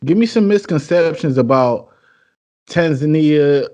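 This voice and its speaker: The recording is a little dull and lo-fi. An American man with a somewhat high voice hosts an interview, chatting informally in slang and often repeating his phrases. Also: expressive, unhurried, a little mellow. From United States. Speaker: podcast host, interviewer. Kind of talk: casual interview chat, slangy.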